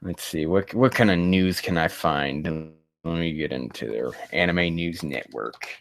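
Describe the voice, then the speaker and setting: A man hosting a casual livestream, rambling and haltingly muttering to himself, with loose, crude language. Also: low-pitched, vocal fry, fairly bright tone, dry recording. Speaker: livestream host.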